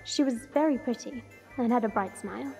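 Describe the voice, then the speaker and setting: Woman as a haughty dragon princess, higher voice. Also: fairly quick, vocal fry, a little mellow. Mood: brooding. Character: haughty, irritable dragon princess in human form, narrating. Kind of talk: first-person story narration. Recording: music bed under the voice.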